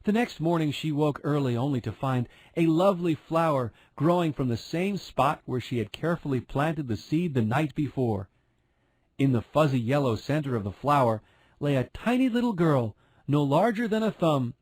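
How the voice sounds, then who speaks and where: A man's voice: fairly high pitch, animated, moderate pace, darkish tone, no echo, rough recording. A man narrating a fairy tale as a scripted storyteller.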